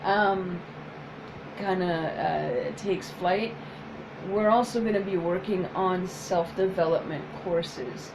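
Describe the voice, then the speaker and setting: A woman whose first language is French, speaking as a psychotherapist in a soft, hesitant casual chat with many pauses. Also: lowish voice, creaky, background noise. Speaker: psychotherapist, co-host.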